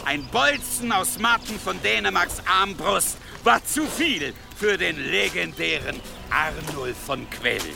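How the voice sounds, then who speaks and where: An actor in a German audio drama playing a cruel, volatile medieval warlord who menaces his men with theatrical, drawn-out delivery. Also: high voice, expressive, gravelly, over loud music, full character voice. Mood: triumphant, commanding. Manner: menacing, theatrical, drawn-out, swinging from hushed to shouting.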